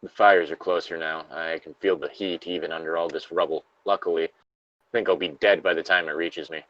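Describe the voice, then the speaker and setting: Dying, trapped workman played by a man, low voice, weary and halting, dry dramatic diary reading. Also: fairly even intonation, a bit bright, no echo, dull audio. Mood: weary, resigned. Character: dying, despairing male factory worker trapped under rubble.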